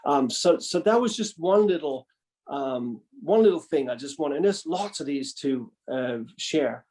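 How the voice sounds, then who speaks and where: Hesitant man, slightly high voice. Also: lively intonation, measured pace, dark tone. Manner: hesitant, many fillers. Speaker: panelist. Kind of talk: conference panel talk.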